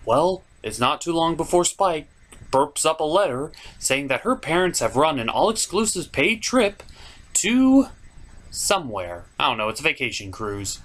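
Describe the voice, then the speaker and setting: Animated man hosting an irreverent cartoon review. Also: on the high side, moderate pace. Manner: irreverent, sarcastic, swearing. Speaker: host of a cartoon review show. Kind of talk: casual comedic review narration.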